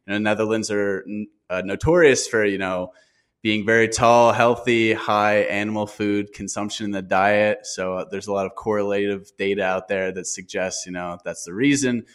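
Male podcast host, fairly low pitch, casual conversational delivery. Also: fairly even intonation, normal speed, a bit bright, clear, resonant tone.

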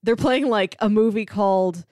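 A woman's voice: mid-range voice, normal speed, mellow, no room sound, clean studio sound. A woman co-hosting a podcast, casually and wryly recapping a film. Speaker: podcast co-host.

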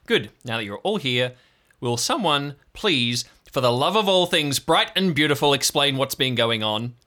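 Man voicing an irritated, bright-voiced injured woman. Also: medium pitch, lively intonation, a little slow, voice pushed up. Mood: irritated, demanding. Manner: curt, demanding. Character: irritated, injured hospitalised woman ranger, curt and impatient.

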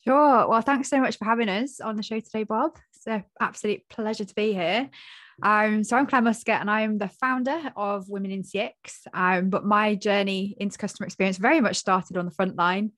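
A woman in her forties, a podcast guest, telling her career story briskly and confidently. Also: medium pitch, steady tone, a bit bright, no echo. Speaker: guest, community founder. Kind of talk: casual interview talk.

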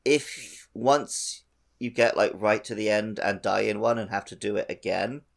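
A man's voice: fairly low pitch, measured pace, gravelly. A man co-hosting a podcast, casually discussing a video game and giving his own take. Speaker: podcast co-host.

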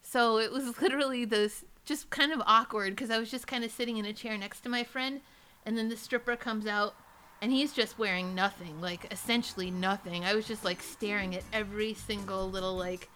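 A woman's voice: medium pitch, fairly quick, sharp tone, a little hiss or hum. A woman co-hosting a podcast, telling casual comedic anecdotes in a rambling, self-deprecating way. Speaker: podcast co-host.

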